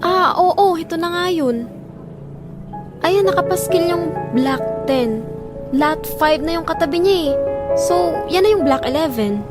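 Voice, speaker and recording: High-voiced, gossipy woman companion. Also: lively intonation, a little slow, pauses often. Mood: watchful. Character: startled, gossipy female companion keeping watch. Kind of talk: audio drama dialogue. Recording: voice over dramatic music.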